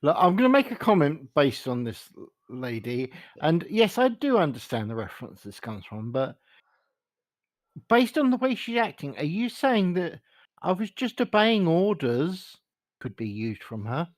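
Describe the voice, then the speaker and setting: A man's voice: on the high side, very animated, moderate pace, no echo. A male co-host in casual talk show banter, agreeable and chiming in with short replies.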